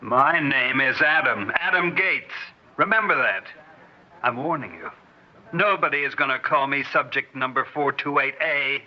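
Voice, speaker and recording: A man's voice: mid-range voice, normal speed, noisy background, close to an everyday voice. An actor playing a defiant, touchy man in an old-time radio drama, speaking with insistent, threatening emphasis. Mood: defiant warning. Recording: dull vintage radio, loud music.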